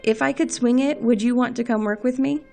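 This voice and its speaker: A woman acts a resolute secret agent in an audio drama. Her fairly high voice is dry, wry and brisk, over soft music. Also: fairly even intonation, gravelly.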